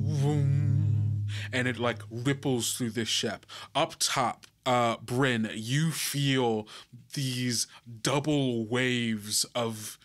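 A man working as game master, narrating a tabletop adventure in halting, deliberate phrases. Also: medium pitch, fairly bright tone.

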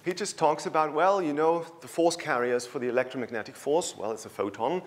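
A man's voice: a little high, fairly quick. Man giving a lecture in a hall. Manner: conversational, storytelling, with fillers. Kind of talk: public science lecture. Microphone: handheld microphone.

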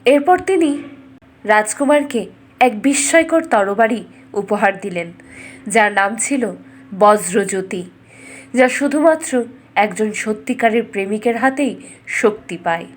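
A woman narrating a scripted fairy tale as a storyteller, dramatic and expressive. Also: on the high side, unhurried, long pauses.

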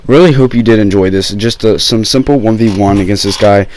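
A young man with a sore throat, talking low and rambling casually over gameplay footage on his gaming channel. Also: brisk, a little mellow, a little hiss or hum. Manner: rambling, many fillers and restarts.

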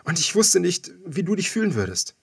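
A man's voice: high-pitched, big pitch swings, fairly quick, gravelly, breathy edge, pitched above the performer's own voice, full character voice. A man voicing an emotional young woman, frightened and happy, in an audiobook.

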